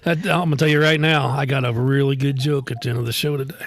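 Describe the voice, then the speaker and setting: A man's voice: medium pitch, steady tone, fast talker. A man co-hosting a podcast, teasing and wisecracking through casual banter. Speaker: podcast co-host.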